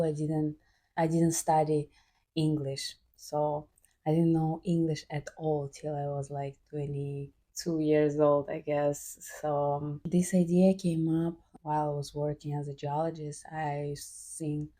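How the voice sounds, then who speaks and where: A young woman from Kazakhstan, a podcast guest telling her story in a halting way with fillers. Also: deep voice, dark tone. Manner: halting, with fillers and frequent laughs. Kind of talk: casual interview answers.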